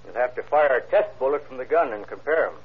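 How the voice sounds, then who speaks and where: A man's voice: medium pitch, lively intonation, fast, noisy background, close to an everyday voice. An actor playing a cautious, exacting police ballistics man who speaks carefully and commits to nothing, in old radio drama style. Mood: absorbed. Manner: careful, noncommittal. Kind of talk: 1940s-50s radio crime drama acting. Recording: dull vintage radio recording.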